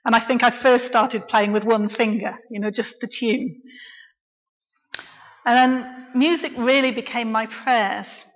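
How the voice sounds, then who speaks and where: A middle-aged woman, a local preacher, giving a quiet, reflective personal testimony in church. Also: fairly high pitch. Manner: soft-spoken, reflective. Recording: dull, echoey church recording.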